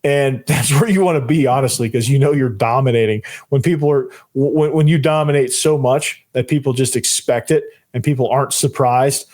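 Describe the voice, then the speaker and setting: A young man with a hoarse, fading voice hosting a solo sports podcast, fired up and rambling, repeating himself and tossing in "man" a lot. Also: medium pitch, brisk, hardly any pauses, darkish tone. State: losing his voice, hoarse. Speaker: podcast host.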